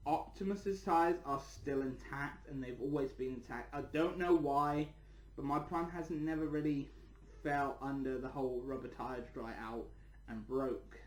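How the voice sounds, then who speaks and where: Man, echoey room, medium pitch, casual rambling vlog host, repetitive phrasing. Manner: rambling, repeats words and phrases. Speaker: channel host and toy reviewer.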